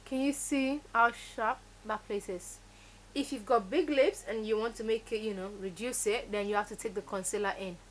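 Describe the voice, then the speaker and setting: A woman's voice: medium pitch, normal speed, slight background noise. Woman teaching makeup. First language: Yoruba. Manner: instructive, emphatic, repeats key words. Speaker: makeup tutorial host. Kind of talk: step-by-step beauty tutorial.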